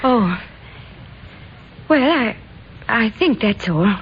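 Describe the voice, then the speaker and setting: Animated high-voiced young woman, vintage radio. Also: extremely slow, heavily acted. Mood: hurried, assuring. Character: young woman leaving home to elope, reassuring, impatient. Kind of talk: 1950s radio drama acting. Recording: muffled old radio recording, faint noise.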